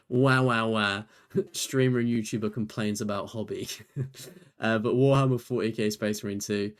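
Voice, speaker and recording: A man's voice: fairly low pitch, a little slow. A young man, a gaming YouTuber, chatting casually through a trophy list while tired and losing his voice, rambling and self-deprecating. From United Kingdom. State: tired, voice nearly gone.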